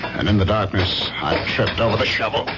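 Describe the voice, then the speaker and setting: An actor playing a despondent, jealous husband who narrates his own story deliberately in an old-time radio drama. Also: fairly low pitch, lightly acted. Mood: brooding, tense. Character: despondent, jealous, brooding husband, a former electronics executive. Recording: muffled radio recording with loud music behind.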